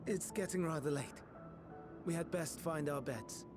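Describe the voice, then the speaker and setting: A man's voice: fairly high pitch, over music, lightly acted. Actor as a light-voiced, melancholy male scholar. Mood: calm, courteous. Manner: measured, formal, archaic phrasing. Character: soft-spoken melancholy male scholar, idealistic, quietly tormented. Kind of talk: dramatic video game voice acting.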